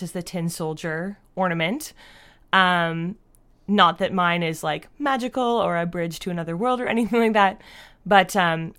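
A woman answering interview questions as a guest in a casual, thoughtful and halting way, often saying "like". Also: fairly low pitch, a bit bright. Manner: thoughtful, halting, frequent "like" as a verbal habit. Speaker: podcast creator and writer, interview guest.

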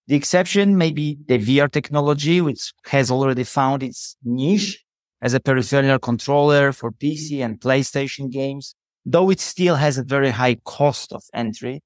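A man's voice: mid-range voice, dry recording, clean studio sound. A man with a Polish accent, a native Polish speaker, answering interview questions as a company head in an earnest, motivational way. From Poland. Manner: earnest, motivational, slightly non-native phrasing. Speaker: CEO guest.

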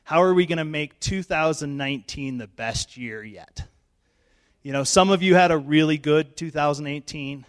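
A middle-aged male pastor, hoarse and losing his voice, giving a chatty, self-joking sermon in church on a handheld mic. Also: on the high side, moderate pace. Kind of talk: informal sermon. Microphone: handheld microphone.

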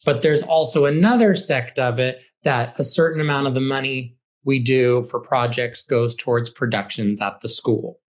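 A man's voice: medium pitch, normal speed, hardly any pauses. A man, a theater director, giving conversational explanatory answers as an interview guest. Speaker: high school theater director, podcast guest.

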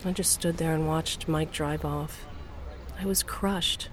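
A woman's voice: deep voice, fairly even intonation, takes it slowly. An actress playing a wry, wounded middle-aged wife and mother, telling her own story in an audio drama. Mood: hurt, wry. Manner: wry, sardonic. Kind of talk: first-person audio drama narration and dialogue.

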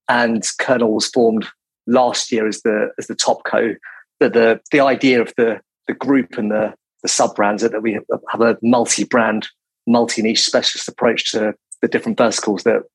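A man in his forties, a recruitment business leader talking as a podcast guest in a quiet, calm, measured way with frequent restarts. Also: medium pitch, slightly rough, no echo, poor audio. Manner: quiet, calm, many restarts and repeated words. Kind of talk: measured business interview talk.

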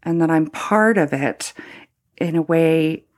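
A middle-aged Canadian woman, a former priest, speaking reflectively in an interview with many pauses, fillers and restarts. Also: deep voice, a little mellow. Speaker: former Anglican priest, guest.

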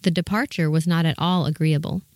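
A woman's voice: low-pitched, steady tone, a little fast, darkish tone, no echo, studio quality. A woman reading scripted storybook narration as the narrator of a fairy tale.

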